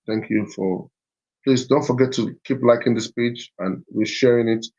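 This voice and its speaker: A man's voice: medium pitch, steady tone, normal speed, a little mellow, lo-fi sound. A man hosting a live stream as a business mentor, talking in a repetitive, urging way with many fillers. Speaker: livestream host and business mentor.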